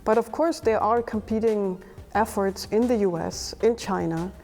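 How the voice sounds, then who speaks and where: A middle-aged woman, a physics professor and project coordinator, explaining in a measured way in a documentary interview. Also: medium pitch, darkish tone, faint music behind.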